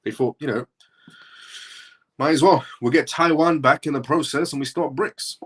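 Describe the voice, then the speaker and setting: A man's voice: fairly high pitch, moderate pace, a bit bright, slightly rough. A man originally from Iran, hosting a live show and talking through his points in a rambling way that circles back on itself. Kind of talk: casual livestream commentary.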